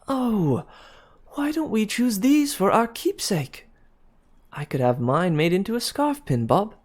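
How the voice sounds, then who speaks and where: A man's voice: mid-range voice, big pitch swings, unhurried, darkish tone. A man voicing an eager, gushing young woman engaged to be married.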